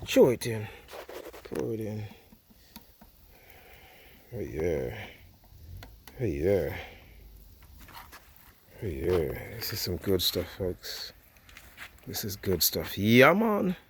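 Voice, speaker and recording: A man's voice: lowish voice, very animated, drawn-out words, long pauses, a little hiss or hum. A man with a Jamaican accent hosting a casual cooking vlog outdoors by a wood fire, chatty and easygoing, often saying "yeah, man".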